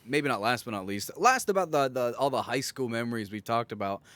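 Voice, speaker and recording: A man's voice: medium pitch, animated, fairly quick, a bit bright, dry recording. A young man hosting a podcast with casual banter and a slangy, sweary way of talking. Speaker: podcast host.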